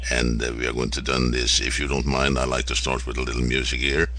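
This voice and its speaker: A man hosting an informal radio interview, speaking in a halting, rambling and polite way. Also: rumbling low, level tone, bright, forceful tone. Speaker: radio show host.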